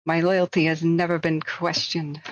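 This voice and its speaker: A woman doing a stiff Soviet party loyalist woman, fake Russian accent, mock-serious, lowish voice, dull dry sound. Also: little pitch movement, moderate pace, slight background noise, natural voice. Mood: mock-dutiful.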